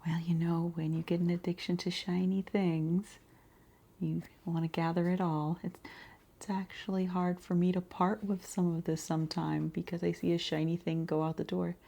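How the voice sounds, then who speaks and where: A woman with a low, mellow voice and a creaky edge speaks quietly, as in an ASMR shop roleplay. She is soft and breathy, often dropping to a whisper, and takes her time with gentle pauses. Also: little pitch movement. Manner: unhurried, gentle pauses, murmured acknowledgements. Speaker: ASMR roleplayer as boutique shopkeeper.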